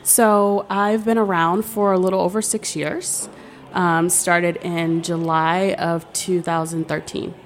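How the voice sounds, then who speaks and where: Friendly woman guest, low voice. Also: little pitch movement, slow pace. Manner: friendly, rambling with fillers. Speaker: wedding planner guest. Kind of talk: casual interview talk. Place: hotel studio.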